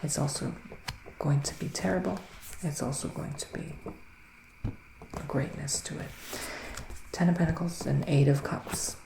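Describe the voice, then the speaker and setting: Soft creaky man as a whispery psychic witch. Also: on the high side. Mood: ominous, reassuring. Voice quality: soft, breathy, often slipping into whispers. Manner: hushed, unhurried, musing, with dramatic pauses. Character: gentle, gloomy male psychic witch reading tarot in the woods. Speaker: ASMR performer, tarot reader. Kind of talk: ASMR roleplay tarot reading. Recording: roomy sound with faint background noise.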